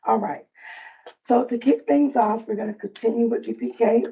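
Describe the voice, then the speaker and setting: A woman with a soft, raspy voice presenting updates at a provider meeting in a friendly, loose way. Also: fairly high pitch, moderate pace, dull audio. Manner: friendly, loose, with frequent fillers. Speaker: early learning coalition staff presenter.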